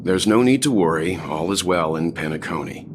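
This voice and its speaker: Very deep, stern, composed protector. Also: male voice, moderate pace, noisy background. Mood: reassuring. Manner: terse, calm, guarded. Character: stern, composed, dependable man, a reserved protector. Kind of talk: video game voice acting. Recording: loud background music under the voice.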